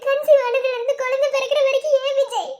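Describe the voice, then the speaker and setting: A woman's voice: very high-pitched, fairly quick, a bit bright. A woman playing an anguished young mother in a family melodrama, tearful, plaintive and full of questioning doubt. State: crying. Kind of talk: melodramatic family serial acting.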